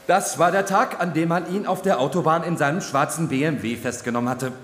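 An actor playing a formal, faintly sardonic chronicler who declaims a legal record in a radio drama. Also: male voice, a little high, a little fast, a bit bright, lightly acted. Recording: echoey reverberant hall, faint noise.

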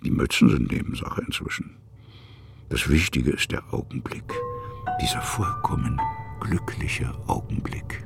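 A man with a gravelly, breathy voice, narrating a literary radio play in a musing, halting manner that sometimes sinks to a hush. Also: very deep voice, faint music behind. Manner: musing, halting, hushed at times.

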